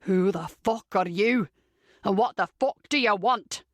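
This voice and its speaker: Lady doing a gravelly old scottish tramp guy, hostile, growly, sweary, audiobook style, dry close sound. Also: mid-range voice, a little slow, no echo, lightly acted. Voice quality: raspy, smoker's rasp. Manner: growling, aggressive.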